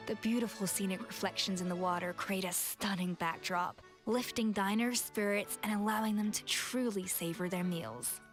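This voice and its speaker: A woman plays an exacting young head chef in a video game. The voice sits at a middle pitch, composed and assured, with precise refined phrasing and light music underneath. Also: normal speed, hardly any pauses, lightly acted. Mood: self-assured.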